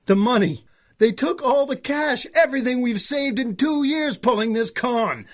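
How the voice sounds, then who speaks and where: An actor playing an angry, desperate small-time swindler in a melodramatic radio crime drama. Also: male voice, very high-pitched, moderate pace. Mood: bitter shock. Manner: excitable, melodramatic. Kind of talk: 1930s-style radio crime drama acting.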